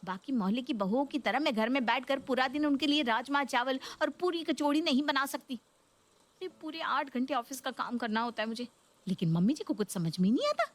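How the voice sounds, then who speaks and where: A woman's voice: high-pitched, expressive, brisk. A woman voicing an exasperated, pleading young working daughter-in-law in a Hindi cartoon story. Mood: fed up.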